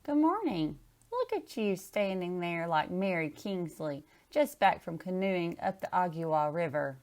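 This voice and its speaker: A woman voicing a cheerful, encouraging female primary school teacher, animated as in a picture book read-aloud. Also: on the low side, a little slow. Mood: teasing welcome.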